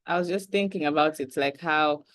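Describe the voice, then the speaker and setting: A woman interviewer asking questions in a casual, soft-spoken way with many fillers. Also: low voice, normal speed, no room sound.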